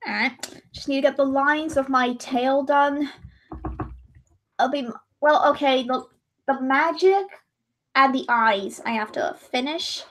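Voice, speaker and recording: A woman's voice: high voice, a little slow, long pauses, slight background noise, rough recording. A young woman chatting casually while drawing on a livestream, rambling and correcting herself, her voice a little strained. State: voice gone high and strained.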